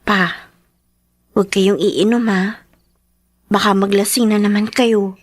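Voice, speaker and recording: A woman with a Filipino accent acting a devoted, dutiful teenage daughter in a Tagalog radio drama, respectful and soft-hearted in manner. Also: mid-range voice, steady tone, slow pace, no echo, clean studio sound. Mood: tender pleading.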